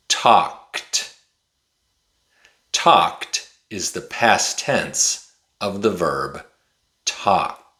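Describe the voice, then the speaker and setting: A man in his forties giving an English pronunciation lesson to camera, enunciating deliberately and carefully like a teacher. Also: low-pitched, bright, forceful tone. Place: small indoor room with bookshelf.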